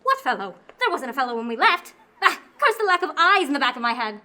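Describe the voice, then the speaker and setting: A woman's voice: high voice, very animated, fast, sharp tone, full character voice. A teenage girl in a student radio play, acting a blunt, protective mother who speaks sharply.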